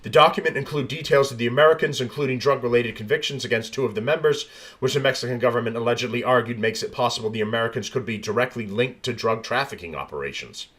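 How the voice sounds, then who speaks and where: A man's voice: medium pitch, fast, fairly bright tone. A man hosting a podcast, reading news stories aloud and adding blunt, profane commentary with frequent restarts. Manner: blunt, profane, rambling asides. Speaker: podcast host.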